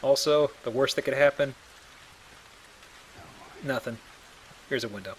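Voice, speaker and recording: A man's voice: medium pitch, unhurried, slight background noise, rough recording. A man hosting a let's play, explaining things casually with a joking manner. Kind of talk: casual game commentary.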